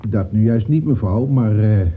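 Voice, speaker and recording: Actor as low-voiced plodding policeman. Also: moderate pace, close to an everyday voice. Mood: chatty, matter-of-fact. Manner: roundabout, official, punctuates with polite address. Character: plodding, chatty, deferential police officer. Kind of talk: Dutch radio play acting. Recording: muffled, noisy old radio play recording.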